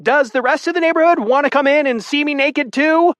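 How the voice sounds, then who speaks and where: A man's voice: lively intonation, normal speed, dry recording. A man voicing a panicked young woman who shrieks and scolds frantically. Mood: hysterical sarcasm. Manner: shrill, frantic, yelling.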